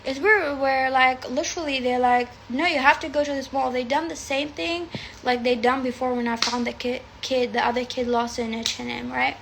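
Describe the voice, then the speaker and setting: High, gravelly Arabic-native woman rambling. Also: fairly even intonation, moderate pace, a bit bright, a little hiss or hum. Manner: chatty, rambling, swears freely. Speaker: tarot reader. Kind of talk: casual livestream chat. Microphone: phone livestream.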